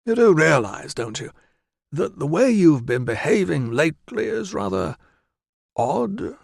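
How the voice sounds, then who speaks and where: A brisk, kindly Roman doctor, fussing over others with cheery reassurance, as a male audiobook narrator puts him on. The voice sits higher than the reader's own and the recording is close and dry, with no room sound. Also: sing-song, creaky, dry recording, heavily acted. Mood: reassuring. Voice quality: brisk, reassuring, says 'old chap'.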